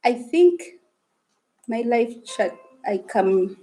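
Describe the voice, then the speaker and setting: Woman, Luganda speaker, live-stream host, chatty and a touch high-pitched. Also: animated, very slow, mellow. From Uganda. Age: adult woman, married. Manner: chatty, greets and reads viewer comments aloud. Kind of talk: casual live-stream chat.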